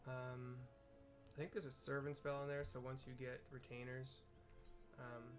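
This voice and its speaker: A man's voice: medium pitch, flat delivery, a little slow. American dude narrating his game playthrough, kinda rambly descriptions, music in the background. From US Pacific Northwest.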